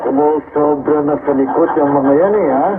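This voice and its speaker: An actor playing a brutal masked thug in a radio drama who speaks slowly and threateningly. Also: male voice, on the high side, fairly even intonation, lightly acted. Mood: menacing. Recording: tinny phone-like sound, loud hiss.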